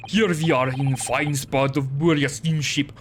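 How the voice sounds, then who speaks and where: A man voicing a gruff, rude male ship guard with a Russian accent and clipped broken speech, for a game. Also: fairly high pitch, fairly even intonation, unhurried, background noise, voice pushed down, natural voice.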